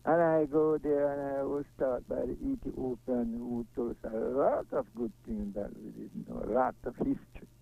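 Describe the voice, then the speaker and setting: A man's voice: medium pitch, moderate pace, vocal fry, hardly any pauses, husky. A man with a Jamaican accent chatting casually in an earnest, agreeable way, heard through a tinny, narrow recording. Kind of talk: casual chat. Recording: tinny, phone-like narrow sound.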